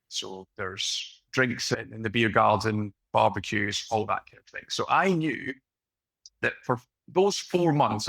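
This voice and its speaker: Gravelly Scottish man in his late thirties. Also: medium pitch, no echo, low-quality recording. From west of Scotland. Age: late 30s. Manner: relaxed, unhurried storytelling with fillers. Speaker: podcast guest, coach. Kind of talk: conversational storytelling.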